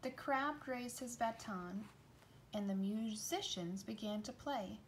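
A woman's voice: fairly high pitch, expressive, takes it slowly. A woman reading a children's storybook aloud as a storyteller. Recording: noticeable background noise.

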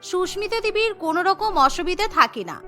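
A woman with a very high, bright voice narrates a scripted cartoon story. Music plays behind her narration. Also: big pitch swings, a little fast.

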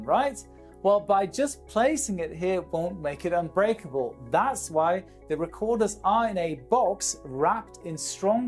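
A man's voice: high voice, darkish tone. Man presenting a scripted explainer over music. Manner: didactic, poses questions and answers them. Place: small indoor studio. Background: background music.

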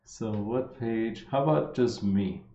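A fitness coach in his thirties, a man with a slight Armenian accent, drawls through viewer questions in a slow, mellow, lowish voice. He rambles, restarting sentences and leaning on fillers. The sound comes through a dull, echoey earphone mic on a live stream. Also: slight background noise. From Los Angeles, United States. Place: indoor room. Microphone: wired earphone mic.

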